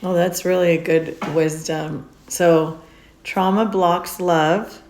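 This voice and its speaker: A woman hosts a casual podcast in a chatty, upbeat way, leaning into her words with lively emphasis. Her voice sits a little low for a woman, and she moves the talk along easily. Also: slow pace, a little hiss or hum. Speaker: podcast host. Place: indoors on a road trip in Utah mountains.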